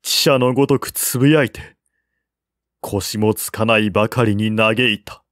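A man's voice: lowish voice, a little slow, a bit bright, no echo, studio quality. A man reading a historical novel aloud as scripted literary narration. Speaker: audiobook narrator.

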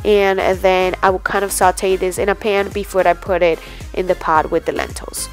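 A young woman and mother chatting casually to the camera in her home kitchen, relaxed and a little rambling. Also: lowish voice, normal speed, faint music behind. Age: young adult. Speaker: vlogger, mom.

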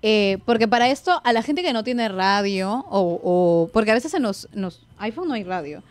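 A woman's voice: medium pitch, animated, normal speed. A woman in her twenties with a Peruvian accent, hosting a casual live radio chat in a playful, informal manner. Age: 20s. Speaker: radio host. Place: small radio studio, indoors. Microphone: studio microphone on boom arm.

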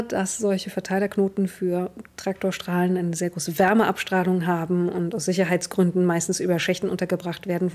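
A woman's voice: fairly low pitch, a little mellow. Young woman narrating as game master. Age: young adult. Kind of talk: improvised narration of a tabletop role-playing game. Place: indoors at home, on a video call.

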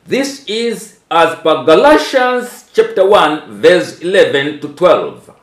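A Tanzanian man in his seventies with a Tanzanian accent, a preacher speaking deliberately, phrase by phrase, often repeating himself. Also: a little high, sing-song. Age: 70s. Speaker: archbishop. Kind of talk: religious address given phrase by phrase for an interpreter. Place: small tiled room indoors. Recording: echoey room.

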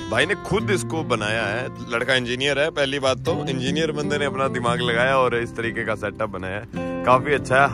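A man in his thirties vlogging casually outdoors, chatting straight to viewers while holding the camera at arm's length. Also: medium pitch. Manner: chatty, addresses viewers directly. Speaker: travel vlogger. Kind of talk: casual vlog narration. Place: outdoors by a car camp and roadside. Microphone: handheld camera at arm's length.

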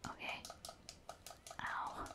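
A woman whispers casual ASMR commentary over a video game, rambling and thinking aloud in a breathy, unvoiced voice. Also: drawn-out words. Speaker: gaming ASMR video host.

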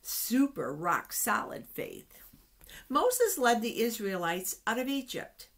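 A middle-aged woman reading Bible stories aloud to children in an expressive, explanatory way. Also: fairly high pitch, slow pace. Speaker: children's storytime reader. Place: small office, indoors. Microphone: webcam at desk distance.

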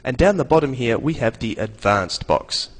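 Young adult man, scripted tutorial narration, casual and playful, lively changing intonation. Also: mid-range voice, moderate pace. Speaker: software tutorial presenter.

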